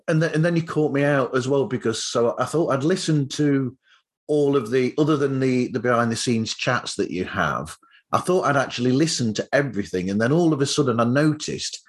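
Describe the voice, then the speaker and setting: A man hosting a podcast interview in a casual, chatty way. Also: medium pitch.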